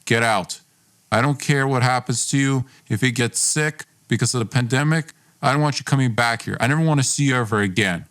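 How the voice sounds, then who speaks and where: A man's voice: mid-range voice, brisk, a bit bright, natural voice. A man playing a hostile, bitter young best friend in dramatized dialogue, accusatory and confrontational. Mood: angry, final.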